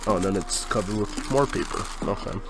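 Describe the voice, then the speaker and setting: A man's voice: fairly low pitch, fairly quick, over loud music. A young man with a cold and a congested voice, casually chatting through a sneaker unboxing, filling his talk with um and like. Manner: rambling, lots of fillers like um and like.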